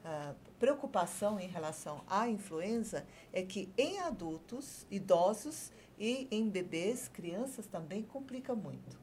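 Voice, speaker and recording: A woman's voice: mid-range voice, expressive, a little mellow, slight background noise. Woman doctor explaining flu vaccines. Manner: measured, searching for words, many fillers. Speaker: doctor guest. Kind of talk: explaining health topics on a TV talk show.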